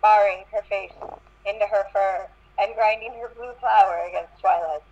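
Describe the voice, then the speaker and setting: A woman's voice: mid-range voice, steady tone, normal speed, darkish tone. A woman reading fan fiction aloud in an amateur group, stumbling and restarting. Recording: muffled lo-fi voice-chat audio.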